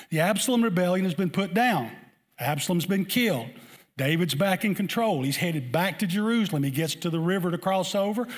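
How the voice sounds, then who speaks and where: A man preaching to a congregation in a conversational way, repeating his points and putting questions to his listeners. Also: a little high. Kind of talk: sermon to a congregation.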